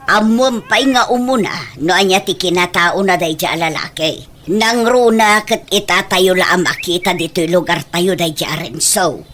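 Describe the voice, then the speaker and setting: A woman with an Ilocano accent playing a protective village mother who gently scolds and cautions, in a radio drama. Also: lowish voice, normal speed, a little hiss or hum, natural voice. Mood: cautioning. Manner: admonishing, motherly.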